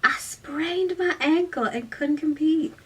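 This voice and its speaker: A woman voicing a teenage girl who fakes a sweet, demure Southern cheerleader drawl in a story, with breathy, stretched syllables and a pleading manner, recorded away from the mic. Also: sits high, slow, recorded from a distance, voice pushed up. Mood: confident, gushing.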